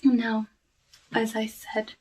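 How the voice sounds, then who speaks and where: Hushed, slow-talking witch, acted by a woman. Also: a little high, little pitch movement, far from the mic. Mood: softly hospitable. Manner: hushed, slow, deliberate, formal old-fashioned phrasing. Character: soft-spoken lonely witch of the woods, courteous, slyly menacing. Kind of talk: ASMR roleplay, soft talking and whispering.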